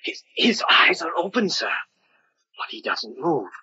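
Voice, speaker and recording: An actor in an old-time radio drama playing a proper, anxious manservant, formal and hesitant, with a breathy, husky voice. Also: male voice, on the high side, big pitch swings, unhurried, bright, forceful tone, muffled recording, heavily acted. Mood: frightened, hushed.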